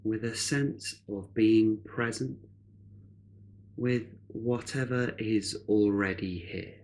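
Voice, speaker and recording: A man leading a guided meditation in a measured, unhurried way, leaving long pauses between phrases. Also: on the low side, steady tone, a little mellow, slight background noise, low-quality recording.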